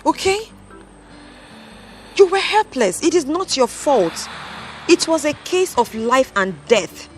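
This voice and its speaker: Actress as a soft, consoling woman, slow and gently lilting. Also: sits high, very animated, soft background music, heavily acted. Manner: soft, soothing, reassuring.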